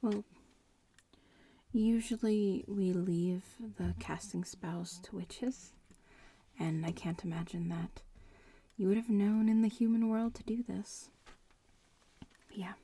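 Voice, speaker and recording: Woman playing a breathy, nonchalant young witch-in-training. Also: medium pitch, slow, pauses often, warm tone, no echo. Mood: nonchalant, explaining. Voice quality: breathy whisper mixed with creaky murmur. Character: casual young witch-in-training, bratty schoolmate. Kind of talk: ASMR roleplay.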